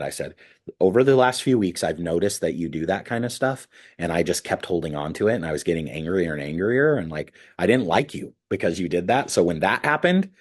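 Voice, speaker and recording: A man with a deep, husky voice teaches a ministry class. He tells candid stories from his own life, and his voice jumps up high when he gets worked up. He sounds like a pastor talking straight with his students. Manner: candid, animated storytelling, voice jumps up when excited.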